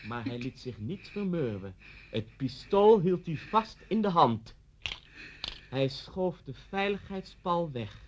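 A man's voice: a little high, very animated, takes it slowly, highs cut off, a little hiss or hum. An actor playing a vengeful, deranged middle-aged man in a radio play, mocking and theatrical as he threatens someone. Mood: theatrical menace. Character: vengeful, deranged man taunting his old schoolteacher at gunpoint.

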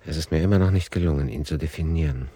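Male radio play narrator: deep register, factual, analytical, no room sound. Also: fast talker, mellow, breathy edge, no echo.

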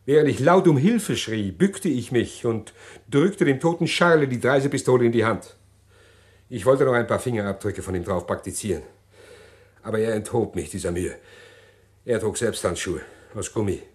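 A condemned man played by a male actor in a German radio thriller. He is a cold-blooded schemer, deliberate in the telling but desperate underneath, and his voice rises and falls widely as agitation takes over. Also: mid-range voice, animated, close to an everyday voice. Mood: cold, intent confession. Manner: detailed, deliberate recounting breaking into agitated pleading. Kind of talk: German radio crime drama acting.

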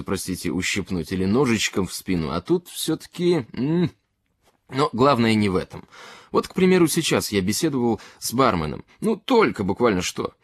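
A man's voice: mid-range voice, no echo, clean studio sound, natural voice. A man voicing a tipsy, earnest character in a literary story who philosophises courteously and emphatically, in a rambling way.

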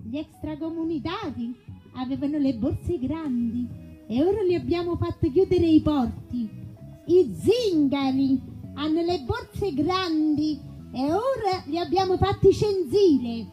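A man acts out a cranky old Roman woman in a live comedy monologue, extremely high in pitch. She scolds crudely and menacingly, with music faintly behind her. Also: lively intonation, a little slow, mellow, poor audio. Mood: sinister threatening. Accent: Roman Italian. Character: cranky, threatening old woman riding the bus.

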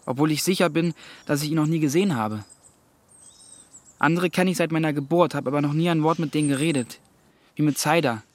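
A man's voice: fairly high pitch, brisk, long pauses, natural voice. A young male actor playing a curious, stubborn teenage boy in naturalistic radio drama, sounding youthful and thoughtful. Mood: guarded, matter-of-fact.

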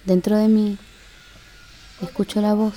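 A woman voicing a melancholy, disenchanted chimney in a slow poetic radio drama monologue. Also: mid-range voice, little pitch movement, warm tone, close to an everyday voice. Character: melancholy old factory chimney, resisting with disenchantment.

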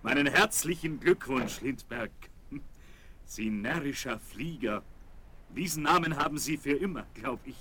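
A man's voice: mid-range voice, lively intonation, a little slow, pushed and bright. An actor playing a genial, direct businessman in a German children's radio play. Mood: hearty, admiring. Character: genial, direct businessman greeting a young hero. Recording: faintly noisy vinyl record transfer.

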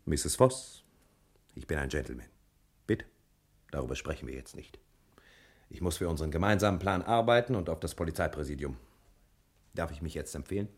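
Suave detective, low husky voice, ingratiating, theatrically emphatic, 1960s radio crime play. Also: male voice, lively intonation, a little fast, pauses often, heavily acted. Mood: oily, courteous. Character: suave scheming male detective courting a client's wife. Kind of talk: 1960s German radio crime drama acting.

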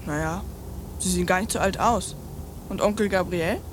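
A woman's voice: lowish voice, normal speed, background noise, lightly acted. An actress playing a brash, bossy, precocious little girl in a satirical radio play. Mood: nosy, blunt. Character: cheeky, foul-mouthed, bossy little girl.

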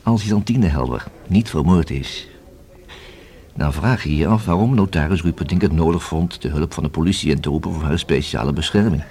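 An actor in a radio play as a methodical police inspector who reasons and questions in a formal, measured, deliberate way. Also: male voice, a bass voice, mellow. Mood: pensive, speculating. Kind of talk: radio drama acting. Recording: hissy old radio play recording.

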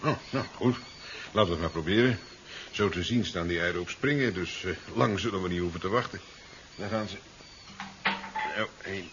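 An actor playing a genial, dismissive scientist in a 1970s radio drama, muttering hesitantly and fussily. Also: male voice, deep voice, fairly quick, long pauses. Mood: absorbed, muttering. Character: dithering, genial scientist host, dismissive of danger. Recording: dull, hissy 1970s radio recording.

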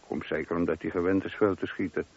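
Police sergeant, middle-aged, husky, talkative, deferential, radio play, muffled lo-fi sound. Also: male voice, low-pitched, flat delivery, quick pace, darkish tone. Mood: gossipy, matter-of-fact. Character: dutiful, chatty older police sergeant, deferential but opinionated. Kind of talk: radio drama acting. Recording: dull, lo-fi old radio recording.

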